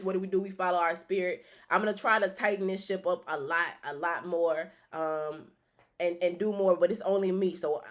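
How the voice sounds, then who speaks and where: A woman talking to her live-stream viewers as she reads through tarot cards. Her talk is loose and rambling, full of restarts and offhand swearing. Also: lowish voice. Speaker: livestream host reading tarot cards. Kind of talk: casual live-stream chat.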